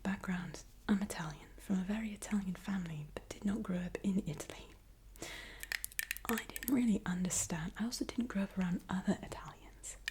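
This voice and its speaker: A woman tells a long personal story entirely in a soft ASMR whisper. She sits at a middle pitch for her voice and keeps the retelling chatty and animated. Clicks and a clear background hiss sit under her voice. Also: a little fast.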